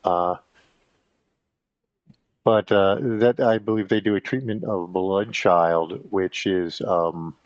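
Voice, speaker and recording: A man with a very deep voice rambles through a casual podcast monologue, halting on fillers and restarting his sentences. The recording is dry and close, with a dull, lo-fi tone. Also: fairly even intonation, no echo. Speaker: podcast host.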